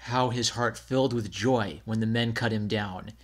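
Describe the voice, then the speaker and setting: A man's voice: lowish voice, normal speed. A man narrating a scripted children's story as a storybook reader.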